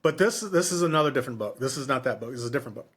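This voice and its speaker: A man in his fifties with a mid-range voice talks as a podcast host in a casual book discussion. He feels his way through sentences, pausing, restarting and doubling back on phrases. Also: medium pitch, expressive, a bit bright, slightly rough. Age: 50s. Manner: halting, circling back and repeating phrases.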